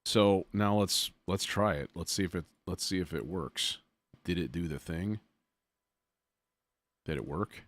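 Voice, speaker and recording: A man's voice: low voice, a little slow, long pauses, slight background noise. A man hosting a casual live music production demo, muttering as he thinks aloud with folksy phrasing. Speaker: music production tutorial host.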